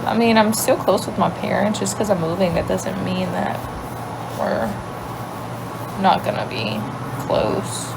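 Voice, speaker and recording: A woman's voice: mid-range voice, fairly even intonation, measured pace, gravelly, long pauses, very noisy. Chatty woman with a light Cajun lilt. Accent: light Cajun Louisiana. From Louisiana. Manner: chatty, thinks aloud, trails off into quiet asides. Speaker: livestream host. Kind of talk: casual livestream chat answering viewers. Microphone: phone.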